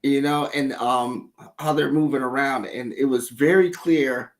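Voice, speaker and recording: A man's voice: medium pitch, measured pace. A man hosting a casual livestream, talking loosely and animatedly as he breaks down video footage, with the odd curse. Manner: animated, loose storytelling with profanity.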